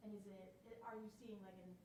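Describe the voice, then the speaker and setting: A woman's voice: medium pitch, steady tone, fast, recorded from a distance. A woman working as a reporter, asking a press conference question and rephrasing as she goes, in a very echoey, noisy room.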